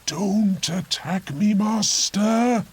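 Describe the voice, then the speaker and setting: A man voicing a servile, bitter island monster in a storybook, with slow, drawn-out words. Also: high-pitched, a little mellow, a little hiss or hum, pitched above the performer's own voice. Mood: pleading. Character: strange fishy island monster, servile, bitter, urging murder. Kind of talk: storybook character voice.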